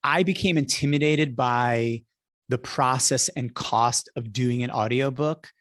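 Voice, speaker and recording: A man's voice: mid-range voice, measured pace, fairly bright tone, no echo, clean studio sound. A man speaking conversationally as a podcast host, with the ease of a practised public speaker.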